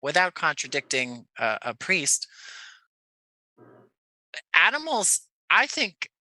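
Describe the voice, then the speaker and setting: Bright-voiced, thoughtful American man. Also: on the high side, wildly swooping pitch, takes it slowly, dry recording, low-quality recording. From Jersey City, United States. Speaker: podcast guest, audio playwright. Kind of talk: reflective conversational interview.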